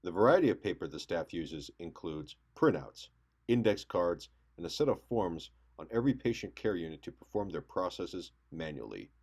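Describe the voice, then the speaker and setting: Low-voiced young male news reporter. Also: very animated, normal speed, darkish tone, slightly rough. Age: young adult. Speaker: local TV news reporter intern.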